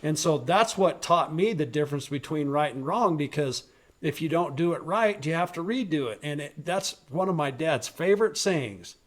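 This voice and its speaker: A man with a fairly high voice rants on a local podcast. He is blunt and commanding, swears freely, and gets loud when a point matters to him. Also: animated, moderate pace. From rural western United States, raised on a ranch. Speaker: podcast host. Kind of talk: opinionated political talk.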